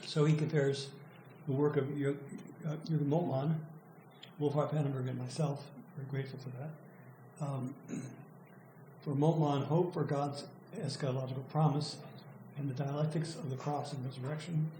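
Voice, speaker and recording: An older man giving an academic lecture, his voice going hoarse from overuse, speaking thoughtfully with halting restarts. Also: mid-range voice, fairly even intonation. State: losing his voice, clearing throat. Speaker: professor presenting a student's work. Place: lecture room. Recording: echoey room with faint noise.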